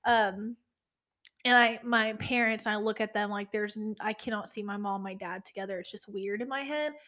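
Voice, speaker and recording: A woman's voice: medium pitch, steady tone, normal speed, sharp tone. A woman in her twenties co-hosting a podcast in casual true-crime chat, chatty and swearing, with ranting asides. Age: 20s. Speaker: podcast co-host.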